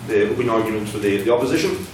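A man chairing a debate, introducing the next speaker in a halting, informal way with many fillers. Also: fairly low pitch, expressive. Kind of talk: chairing announcements. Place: large echoey debating hall. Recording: echoey hall, distant mic, loud noise.

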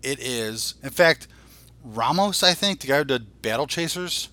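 A man's voice: mid-range voice, lively intonation, a little slow, pushed and bright. A man in his forties co-hosting a podcast, ranting casually in a blunt, exasperated way with constant profanity. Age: 40s. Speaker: podcast co-host. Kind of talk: casual opinionated podcast rant.

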